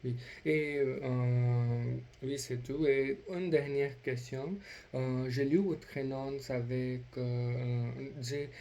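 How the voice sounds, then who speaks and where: A man with a non-native accent practising a polite role-play inquiry as a language learner, speaking haltingly with many hesitations. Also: medium pitch, steady tone, mellow. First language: not French.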